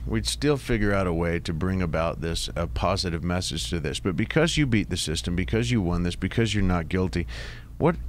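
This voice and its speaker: A man's voice: low-pitched, a little hiss or hum. A man hosting a talk radio interview, speaking emphatically in a sermon-like way and repeating phrases for effect. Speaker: radio show host.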